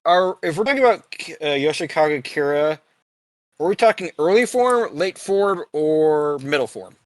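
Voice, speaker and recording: A man's voice: a little high, lively intonation, normal speed, no echo. A man playing a tabletop roleplaying game, chatting casually.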